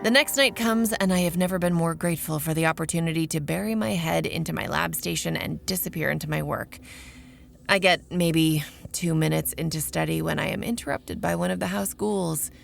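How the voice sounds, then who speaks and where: A sardonic vampire woman, tells her own story in a lowish, heavily creaky voice. Her delivery is wry, profane and conversational, in audio drama style with music underneath. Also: a little fast. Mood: weary. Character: Aurora, sardonic young female vampire researcher narrating her exploits. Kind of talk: first-person audio drama narration and dialogue.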